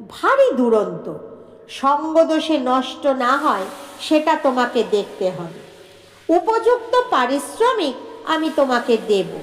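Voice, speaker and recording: A woman's voice: high voice, fairly bright tone, full character voice. Echoey, firm old merchant man, voiced by a woman. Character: well-fed rich merchant man in his sixties, firm. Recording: echoey room with long reverb.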